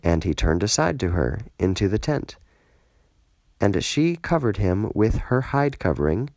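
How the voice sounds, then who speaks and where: Male narrator, slow solemn Bible reading, lowish voice, measured phrasing, dry close sound. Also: sing-song, darkish tone, no echo. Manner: measured, deliberate, short phrases with pauses. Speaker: scripture reader, audiobook narrator.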